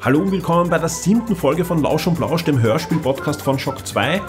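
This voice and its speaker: A man's voice: fairly high pitch, animated, brisk, over music. A man, chatting casually as a podcast host and often repeating words as he starts sentences. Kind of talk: casual chat.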